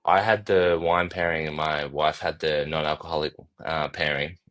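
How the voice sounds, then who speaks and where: A man's voice: extremely low pitch, fairly even intonation, normal speed, a bit bright. A man, a founder talking as a podcast guest in a laid-back, casual way, chuckling often and swearing now and then. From Australia. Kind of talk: casual interview chat.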